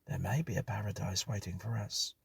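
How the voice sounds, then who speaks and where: A man voicing a shaken survivor who speaks in a hushed, solemn tone. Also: on the low side, steady tone, brisk, vocal fry, breathy edge, no echo, distant mic, voice pushed up, full character voice. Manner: hushed, whispery.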